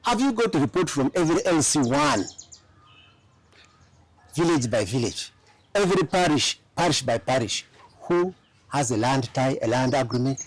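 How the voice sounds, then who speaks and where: A man who is a lawyer and studio guest on a political talk show, making his case emphatically, point by point. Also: medium pitch.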